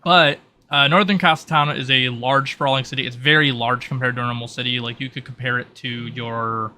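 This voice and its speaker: Bright-voiced man narrating a tabletop game. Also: medium pitch, fairly even intonation, brisk, slight background noise. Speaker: game master. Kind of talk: improvised narration of a tabletop roleplay.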